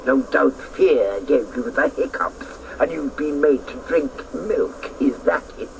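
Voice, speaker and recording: Gravelly, haughty old guardian. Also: male voice, medium pitch, animated. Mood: scornful, mocking. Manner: slow, mocking, imperious. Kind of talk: radio drama acting. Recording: faintly noisy old radio broadcast.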